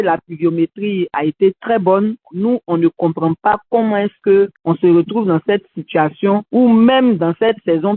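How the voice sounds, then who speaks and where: Woman, Ivorian French accent, radio host, critical and measured, dry thin call audio. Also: lowish voice, no echo, narrow, tinny audio. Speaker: host of a civic radio show. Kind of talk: commentary and answering callers. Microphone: video call.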